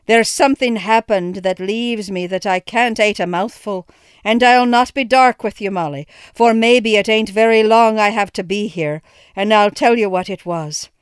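A reader voicing a frightened farmer. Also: male voice, high voice, moderate pace, clear, resonant tone, dry recording, clean studio sound, voice pushed up, lightly acted. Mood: fearful, grave. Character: frightened middle-aged Irish farmer, a father.

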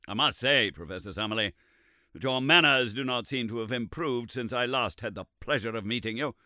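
A man voicing a stern, rigid aristocratic gentleman who speaks in a clipped, controlled way. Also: lowish voice, animated, brisk, no echo, studio quality.

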